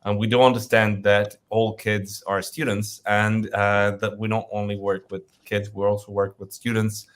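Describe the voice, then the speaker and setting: A man speaks in a fairly low voice. He presents in a webinar, filling his sentences with "uh" and "um" as he goes. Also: level tone, normal speed, few pauses. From Russia. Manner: hesitant, many fillers. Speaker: company founder presenting.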